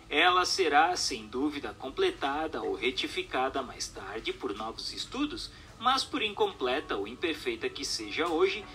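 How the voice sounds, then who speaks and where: A man's voice: on the high side, bright, forceful tone. A man reading a scripted text aloud as a narrator, in a formal, declamatory way.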